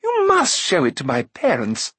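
Brief: man playing a high, excitable young prince, easily amazed, gushing, husky edge. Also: normal speed, dry recording, exaggerated voice. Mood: eager.

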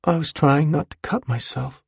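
A man's voice: on the high side, normal speed, gravelly, breathy edge, no echo, studio quality, pitched above the performer's own voice, heavily acted. A man voicing a frightened, ashamed man who speaks in a hesitant, pleading way in audiobook dialogue. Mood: quiet, ashamed. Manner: soft, faint, hesitant, pleading.

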